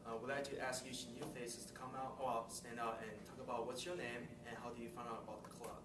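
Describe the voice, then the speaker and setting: A man's voice: mid-range voice, little pitch movement, fast. A man hosting a club meeting, making chatty announcements with frequent little laughs. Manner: friendly, chatty, laughs between remarks. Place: meeting room. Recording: roomy, distant mic, loud room noise.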